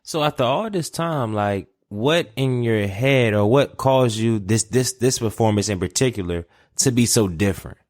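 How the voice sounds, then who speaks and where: A man's voice: medium pitch, moderate pace, darkish tone. Casting: male podcast host, mid-range voice, loose and jokey, rambles with a laugh. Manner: playful, rambling, laughs often. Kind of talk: casual podcast interview.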